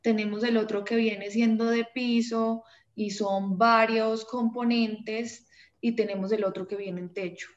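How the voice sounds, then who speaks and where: A woman's voice: a little high, fairly even intonation, unhurried, reverberant, muffled recording. A woman moderating a webinar who politely interrupts to steer the discussion.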